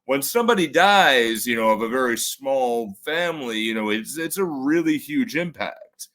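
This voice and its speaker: A man with a bassy voice, a podcast guest in casual conversation, halting with many fillers and restarts. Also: lively intonation, a bit bright.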